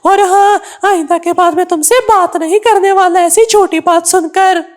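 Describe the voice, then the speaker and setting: Woman voicing an extremely high-pitched earnest cartoon parrot. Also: steady tone, moderate pace. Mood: offended, scolding. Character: earnest, easily upset male parrot friend. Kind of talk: Hindi kids' cartoon dubbing.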